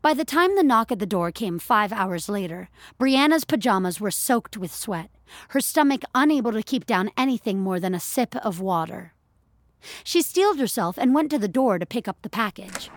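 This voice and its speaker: A woman's voice: mid-range voice, slight background noise. A woman narrator reading scripted audio fiction as a storyteller.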